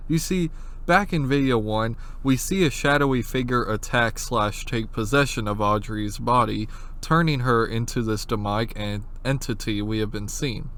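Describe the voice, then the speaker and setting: A man with a medium-pitched voice narrates horror commentary. He explains things step by step in informal wording. Casual asides break up the narration. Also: fairly bright tone. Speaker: video essayist, horror series analyst.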